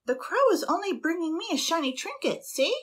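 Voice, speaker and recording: Gentle young heroine for a storybook, high and breathy, doting, as a woman reads her. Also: big pitch swings, a little fast, exaggerated voice. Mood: sweet. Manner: soft, breathy, lilting.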